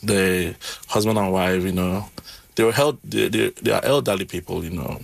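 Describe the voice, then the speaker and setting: A man who is not a native English speaker tells his story in an interview, pausing often with um and uh. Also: low voice, gravelly, no echo. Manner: halting, with frequent um and uh fillers. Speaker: interview guest.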